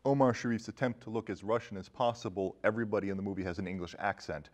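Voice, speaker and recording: A man's voice: fairly low pitch, steady tone, fast talker. A man hosting a podcast, talking casually about films. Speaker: podcast host. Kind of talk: casual film discussion.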